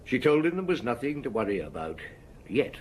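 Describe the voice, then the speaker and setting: An actor playing a shrewd, unhurried chief inspector in an audio drama, measured, probing and dryly courteous. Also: male voice, mid-range voice, big pitch swings, slight background noise, natural voice. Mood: thoughtful.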